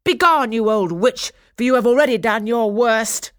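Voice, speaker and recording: A woman's voice: on the high side, big pitch swings, normal speed, darkish tone, no echo, clean studio sound, voice pushed up. A woman voicing a good fairy in a storybook, commanding, firm and emphatic as she declaims.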